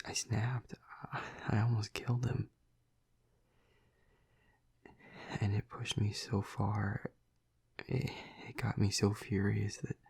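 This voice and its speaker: Man voicing a husky, whispering, remorseful vampire lover. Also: lowish voice, darkish tone, heavily acted. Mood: guilty. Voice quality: breathy, husky half-whisper. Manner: halting, stammering, confessional. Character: tormented, tender male vampire lover, remorseful and smitten. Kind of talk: intimate audio roleplay, spoken to listener.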